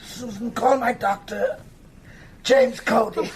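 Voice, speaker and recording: A man's voice: very high-pitched, steady tone, takes it slowly, heavily acted. An actor playing a frightened older man in a horror radio drama, gasping in pain. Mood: pained, urgent. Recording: clearly noisy radio drama recording.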